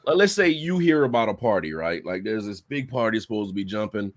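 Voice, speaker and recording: A man's voice: lowish voice, animated, a little fast, no room sound, clean studio sound. A man co-hosting a casual podcast, laying out a laid-back hypothetical scenario to his friends. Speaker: podcast co-host. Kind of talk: casual political banter.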